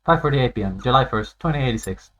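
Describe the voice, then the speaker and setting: A man's voice: medium pitch, lively intonation, fast, fairly bright tone, highs cut off. Man narrating a visual novel over music. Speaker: game streamer.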